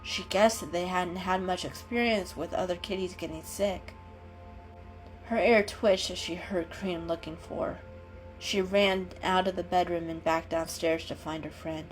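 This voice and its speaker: A woman giving an expressive, dramatic reading of a children's animal story as a storyteller. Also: on the low side, unhurried, poor audio. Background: background music.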